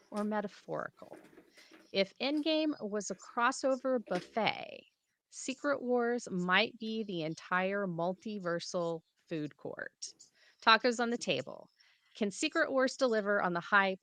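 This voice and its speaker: A woman co-hosting a pop culture podcast, chatting casually and reading rumor stories with playful quips. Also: mid-range voice. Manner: lively, jokey, reads rumors with quips. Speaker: podcast co-host. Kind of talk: casual chat and reading news.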